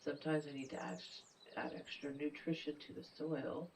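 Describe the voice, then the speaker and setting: A woman with a stuffy, blocked-up nose talks her way through a game, thinking out loud and now and then sinking into a low mutter. Her voice has a gravelly, fry-tinged edge. The mic sits a little away from her, with faint birdsong from the game behind her. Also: flat delivery, moderate pace, distant mic, slight background noise, poor audio. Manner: thinks aloud, muttering and half-whispering while working things out. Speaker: gameplay streamer. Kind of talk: casual let's play commentary.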